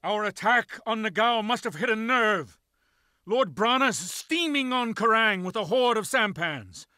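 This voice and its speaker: Commanding pirate clan lord, dry sound. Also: male voice, high-pitched, animated, unhurried, sharp tone, no echo, full character voice. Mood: rousing. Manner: authoritative, declamatory. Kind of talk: video game cutscene acting.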